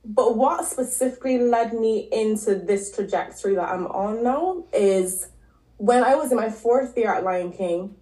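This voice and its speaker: A woman from Barbados, a podcast guest and health coach, telling her story in a relaxed, casual way with frequent ums and likes. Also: fairly high pitch, measured pace. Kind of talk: casual interview talk. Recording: echoey room.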